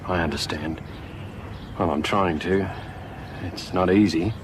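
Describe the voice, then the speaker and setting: A man playing a father who speaks softly and hesitantly, trying to comfort. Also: low voice, unhurried, background noise.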